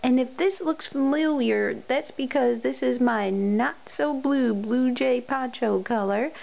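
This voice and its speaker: Slow, slightly scratchy woman hosting a tutorial. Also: sits high, vocal fry, highs cut off. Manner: friendly, unhurried, explains step by step. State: hoarse after a cold. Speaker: crochet tutorial host. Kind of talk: casual how-to narration.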